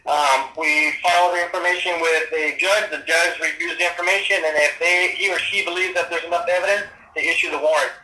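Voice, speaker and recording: A fast-talking man with a sharp, bright voice explains how things work, matter-of-fact and brisk. He is heard over an echoey phone line and sits a little higher in pitch than most men. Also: fairly even intonation. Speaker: police sergeant. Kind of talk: phone call. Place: phone call.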